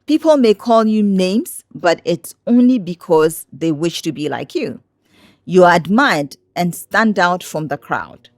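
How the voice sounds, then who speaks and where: A woman's voice: fairly low pitch, lively intonation, measured pace, dry recording, studio quality. A woman narrating a motivational lesson, speaking encouragingly and directly to the listener.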